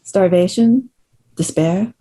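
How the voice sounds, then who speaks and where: A woman's voice: mid-range voice, slow pace, warm tone. A woman playing a scrappy, defiant rustic tomboy daughter at a screenplay table read, with blunt, folksy rural speech. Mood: sardonic.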